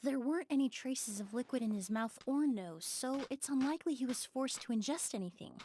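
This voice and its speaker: A woman playing a composed young investigator in a game, speaking in a measured, clinical way. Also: sits high, animated, dark tone, slight background noise. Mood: calm, clinical. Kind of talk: video game voice acting.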